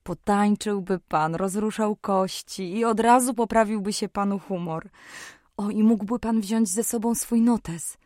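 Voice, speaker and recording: An actress playing a kind, sociable young apothecary's daughter in a period radio drama, polite and gently coaxing. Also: female voice, medium pitch, measured pace, no room sound, clean studio sound, close to an everyday voice. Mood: cheerful, coaxing.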